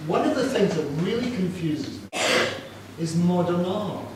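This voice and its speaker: Brief: Scottish man in his sixties, high voice, unhurried, joking about himself; distant, echoey room with noise behind. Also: animated. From Scotland. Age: 60s. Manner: self-deprecating, chatty, asks the audience questions. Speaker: storyteller presenting his artwork. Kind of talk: informal talk to students.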